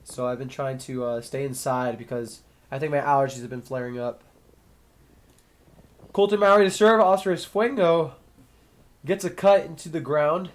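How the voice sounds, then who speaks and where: A man's voice: fairly high pitch, steady tone, normal speed, long pauses. Nasal young man calling plays. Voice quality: slightly nasal from a cold. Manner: casual, thinks aloud between calls. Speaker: sports commentator. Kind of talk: solo play-by-play commentary.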